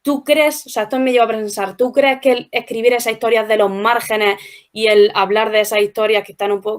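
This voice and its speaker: A woman with an accent from Spain hosting an interview, asking long, tentative questions dotted with tags. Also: fairly high pitch. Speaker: interviewer, host. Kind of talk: conversational interview.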